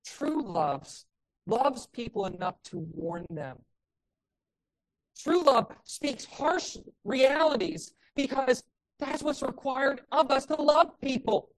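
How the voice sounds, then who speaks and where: Woman pastor, fairly high voice, loud and impassioned in the pulpit, hits emphatic peaks. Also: expressive, takes it slowly, poor audio. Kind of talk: sermon.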